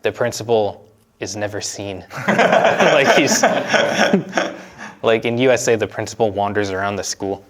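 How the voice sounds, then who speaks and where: A young man from the United States chatting casually in an interview, relaxed and often laughing as he talks. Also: lowish voice, normal speed, long pauses. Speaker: interviewee, university student.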